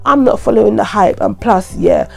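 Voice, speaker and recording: A woman, croaky from a head cold, giving chatty, repetitive money advice straight to her viewers. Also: medium pitch, moderate pace, faint music behind. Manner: chatty, repetitive, earnest warnings. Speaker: vlogger. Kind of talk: casual advice monologue to camera.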